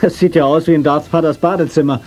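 A man's voice: fairly high pitch, fairly even intonation, fast talker, darkish tone, faint music behind, close to an everyday voice. An actor playing a cocky, wisecracking young male hero, a former policeman, in an informal manner in a radio play. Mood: incredulous, joking.

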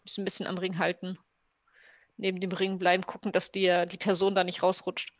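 A woman's voice: fairly low pitch, completely flat delivery, fairly quick, dry recording. Woman chatting casually, dry close sound. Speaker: player in a roleplaying game. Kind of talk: casual improvised game talk. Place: indoor room at home, video call.

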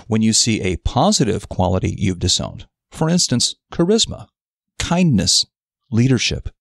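A man reading a scripted self-help article as narrator, in a measured, deliberate, instructive way. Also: fairly low pitch, creaky, dry recording, studio quality.

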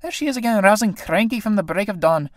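Woman voicing an old tinker woman, sly, mocking, wheedling, lively swinging pitch, dry sound. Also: quick pace, no echo, close to an everyday voice.

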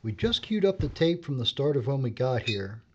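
A man plays a level-headed documentary filmmaker in an audio drama, casual and mildly wry. His pitch is average. The sound is a little dull with faint noise. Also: sing-song, moderate pace, close to an everyday voice. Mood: polite, matter-of-fact. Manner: matter-of-fact, casual.

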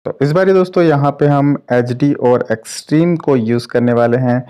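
A man's voice: mid-range voice, normal speed, warm tone, smooth. A man hosting a tech review, giving informal commentary on a phone gaming test and mixing in English tech terms. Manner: informal, repeats filler phrases, English tech terms mixed in. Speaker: tech review channel host.

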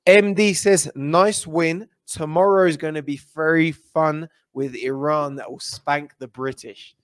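A man's voice: on the high side, steady tone, measured pace, dry recording, clean studio sound. A man in his thirties hosting a casual football show, upbeat, who reads viewer comments aloud. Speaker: show host. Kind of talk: casual live-stream chat. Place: small indoor studio with a backdrop.

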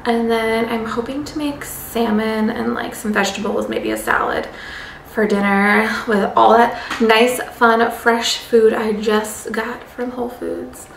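A woman's voice: a little high, a little slow, vocal fry, roomy sound, recorded from a distance, a little hiss or hum, rough recording. A young woman vlogging at home, talking casually to camera with many "like" fillers. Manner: chatty, loose, many "like" fillers. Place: home living room, indoors.

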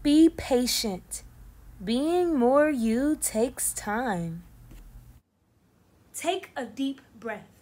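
A young woman teacher reading a picture book aloud to children in an animated, deliberate, encouraging way. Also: high voice. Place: small indoor room against plain backdrop.

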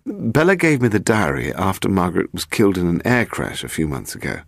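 Audiobook role: nervous publisher, male played by a male narrator, halting delivery with stammers, lively intonation. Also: deep voice, vocal fry, dry recording, clean studio sound, full character voice. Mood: troubled. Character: anxious, hesitant male publisher. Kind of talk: audiobook character voice.

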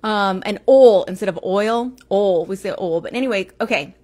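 A woman in her forties with a Texas accent chats loosely on her livestream. Her vowels sometimes slide toward the Midwest, and she wanders between topics with quick asides to viewers. She talks into an earphone headset mic in a small room. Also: mid-range voice, a little mellow. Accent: Texas, with Midwestern turns. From Texas, United States. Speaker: livestream host. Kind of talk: casual livestream chat. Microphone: earphone headset mic, phone camera close.

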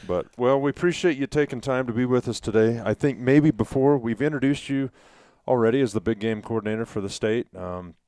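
A man's voice: mid-range voice, fairly quick, hardly any pauses, a little mellow, no echo. A middle-aged man co-hosting a casual podcast discussion, speaking into a headset mic. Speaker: podcast co-host. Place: small wood-panelled room, around a table.

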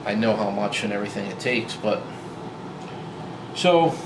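A man in his forties talking casually to camera about his old truck, relaxed and rambling with lots of fillers and restarts, filmed in a garage. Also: lowish voice, normal speed. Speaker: vlogger. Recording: roomy garage with loud hum.

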